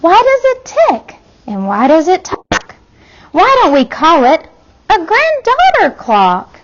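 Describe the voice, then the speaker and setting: A little girl scientist from a storybook, voiced by a woman, who questions everything. Her voice is high and leaps up and down with emphatic wonder. The recording is a touch dull. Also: wildly swooping pitch, slow pace, heavily acted. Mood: curious. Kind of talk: children's storybook character voice.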